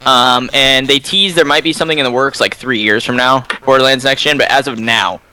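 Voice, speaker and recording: A man's voice: mid-range voice, fairly even intonation, brisk, sharp tone, smooth. A young man co-hosting a gaming podcast, explaining game news in casual chat.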